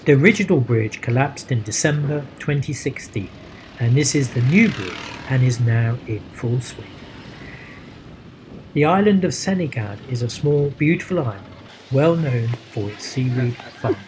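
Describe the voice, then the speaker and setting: A man giving scripted, measured travel narration as a voice-over. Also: medium pitch, expressive, background noise. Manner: measured and informative. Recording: voice-over with guitar music behind.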